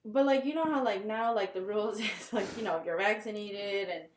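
A young woman chats on a casual podcast, wandering through everyday stories and filling gaps with "like" and "I don't know". She laughs easily and tells things in a loose, conversational way. Her voice sits at a middle pitch for a woman. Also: fairly quick, crowd noise. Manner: chatty, rambling, laughs often, lots of filler words. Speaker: podcast co-host.

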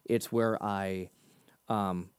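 A man co-hosting a casual faith discussion, talking animatedly and breaking into higher voices when he quotes himself. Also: extremely slow, smooth, no echo. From Boston area. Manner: animated, laughs often, jumps pitch in quoted asides. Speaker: podcast co-host.

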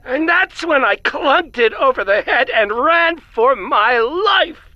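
A woman playing a quirky, agitated elderly maintenance supervisor in an audio drama, with a wheezy old voice and halting, rambling delivery. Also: sits high, animated, no room sound, highs cut off. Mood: alarmed, conspiratorial. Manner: halting, rambling, exclamatory storytelling.

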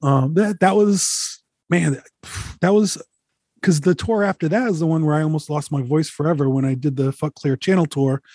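A man in his forties, a touring musician, telling candid road stories in a relaxed, rambling way, swearing freely. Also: a little high, normal speed, dry recording, studio quality. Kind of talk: casual interview, candid storytelling.

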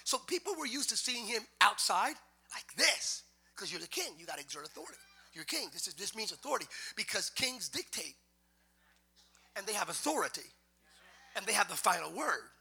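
Male pastor, very high pitch, very bright tone, sermon in a church. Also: sing-song, brisk, gravelly, slightly rough. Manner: builds from quiet talk to loud sung preaching. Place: church sanctuary.

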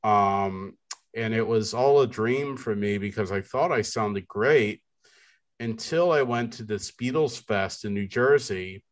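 Man, deep baritone voice, unhurried, rambling podcast chat, lots of ums. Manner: slow, rambling, many fillers and restarts. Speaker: podcast co-host. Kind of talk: casual chat.

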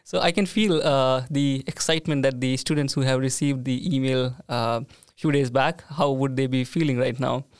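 A man's voice: medium pitch, fairly even intonation, moderate pace, clear, resonant tone, no echo, clean studio sound. A man hosting a casual podcast chat, talking in a friendly way with plenty of "uh"s and "like"s. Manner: friendly, hesitant with many fillers. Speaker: podcast host.

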